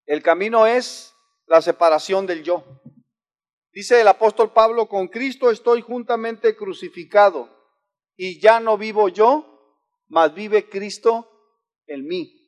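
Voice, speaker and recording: A man's voice: high voice, takes it slowly, pauses often. A man preaching a sermon in an earnest, exhorting manner, often restarting his phrases. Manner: earnest, exhorting, with frequent restarts and varied emphasis.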